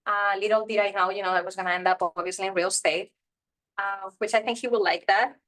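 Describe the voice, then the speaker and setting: Woman, 30s, from Spain; candid, chatty interview guest at a medium pitch. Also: steady tone, fast talker, fairly bright tone. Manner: chatty and candid, heavy use of "you know" and "like". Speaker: interview guest, real estate investor. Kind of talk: casual interview chat.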